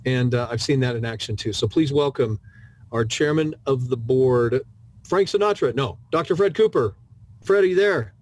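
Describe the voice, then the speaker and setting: A man's voice: mid-range voice, moderate pace, darkish tone. A man hosting an online company meeting informally, in a complimentary and joking manner.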